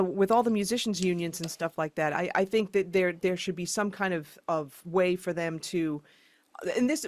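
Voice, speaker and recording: A woman hosting a podcast interview, talking in a rambling way with many fillers. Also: lowish voice. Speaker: podcast host. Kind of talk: interview chat.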